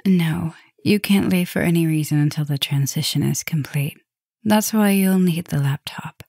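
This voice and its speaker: Casting: woman to play female vampire professor for ASMR roleplay. Lowish voice, close and dry, caring soft-dominant mistress, gentle with breathy dips. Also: moderate pace, dry recording, heavily acted. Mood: serious, protective. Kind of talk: ASMR roleplay, speaking intimately to the listener.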